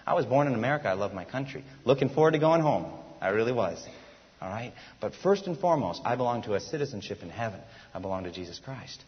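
A man's voice: medium pitch, sing-song, fast. A man preaching a sermon as pastor, earnest and emphatic, often asking his listeners rhetorical questions. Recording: dull lo-fi church hall recording.

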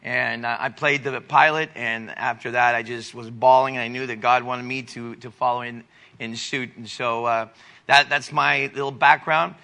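A man in his forties, a missionary giving a church testimony over slides, halting with many fillers and restarts. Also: medium pitch, fairly even intonation, vocal fry, pushed and bright. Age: 40s.

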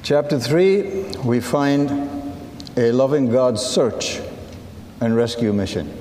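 A man whose first language is Assyrian, preaching a sermon in a measured, unhurried way and inviting listeners to read along. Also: mid-range voice, dark tone, a little hiss or hum. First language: Assyrian (Syriac). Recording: echoey hall with long reverb and faint ambience.

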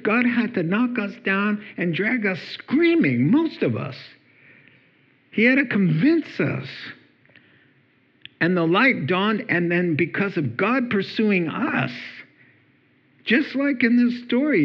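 A man preaching a sermon in an animated, jokey, conversational way. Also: high voice, husky, highs cut off. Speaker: pastor.

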